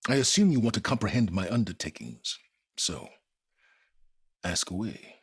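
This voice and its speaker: An actor playing an arrogant, secretive older scholar in a video game, gravelly and pompous, with deliberate, condescending formal phrasing. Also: male voice, lowish voice, sing-song, dry recording, clean studio sound, full character voice. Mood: condescending, dry. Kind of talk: video game dramatic acting.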